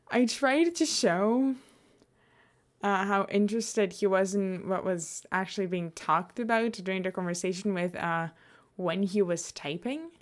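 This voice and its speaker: A young woman chatting casually to listeners as a host, hesitant, often trailing off and correcting herself. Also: mid-range voice. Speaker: podfic reader and host. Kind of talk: casual rambling chat to listeners.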